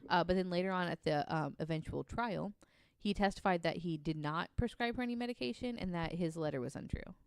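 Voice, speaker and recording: A woman's voice: on the low side, brisk, smooth, dry recording, clean studio sound. A woman co-hosting a podcast, casually telling a true story with lots of ums and likes. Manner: chatty, many ums and likes, laughs easily.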